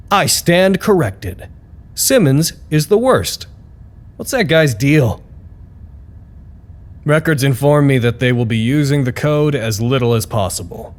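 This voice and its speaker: A male actor playing an amiable, nervously upbeat staffer who narrates his report in a wry, expressive, conversational way for an audio drama. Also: mid-range voice, lightly acted. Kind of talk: scripted audio drama monologue, personal report.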